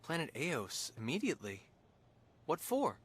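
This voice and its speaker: A man's voice: fairly high pitch, huge pitch swings, measured pace, fairly bright tone, breathy edge, heavily acted. A man with an American accent voicing an earnest, dutiful young starship captain in a video game, speaking straightforwardly. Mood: alert. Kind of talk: video game cutscene voice acting. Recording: music behind the voice.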